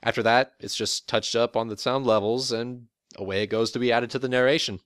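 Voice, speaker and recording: A young man with a deep voice, a voice actor giving a prepared, relaxed, matter-of-fact account of his role. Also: a little fast, clean tone, dry recording, clean studio sound. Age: young adult. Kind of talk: prepared interview monologue. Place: college dorm room.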